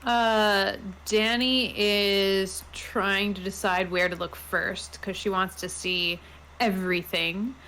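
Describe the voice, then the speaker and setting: A woman's voice: medium pitch, steady tone, takes it slowly, bright, forceful tone, slight background noise, low-quality recording. A woman talking casually as a player in a tabletop role-playing game.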